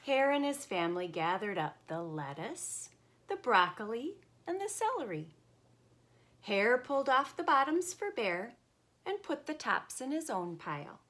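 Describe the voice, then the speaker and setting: A woman reading a children's picture book aloud in an expressive, deliberate, emphatic way. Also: fairly high pitch.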